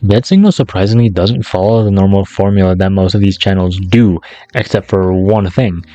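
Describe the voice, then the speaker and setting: A man's voice: deep voice, normal speed, dark tone, dry recording, highs cut off. A man narrating a casual scripted YouTube commentary in a sarcastic, slangy, profane way. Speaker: YouTube video essayist.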